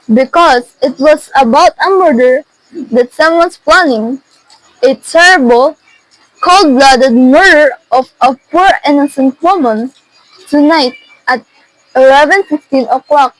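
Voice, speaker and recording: A woman's voice: high voice, no echo, poor audio. A young woman in her teens playing an imperious, anxious married lady in an amateur radio drama, insistent and indignant. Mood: urgent. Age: teenager.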